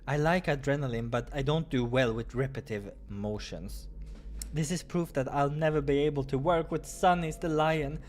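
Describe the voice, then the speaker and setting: Someone voicing an eager, excitable animal apprentice hero. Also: medium pitch, moderate pace, lightly acted. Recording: clear background noise.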